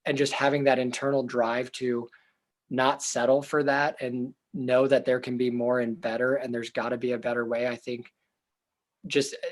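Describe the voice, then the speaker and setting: A young man with a loud, earnest voice answers interview questions. He is a therapist who thinks out loud, circling back with fillers and restarts, and his voice sits at an ordinary middle pitch. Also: flat delivery, moderate pace. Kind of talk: reflective casual interview talk.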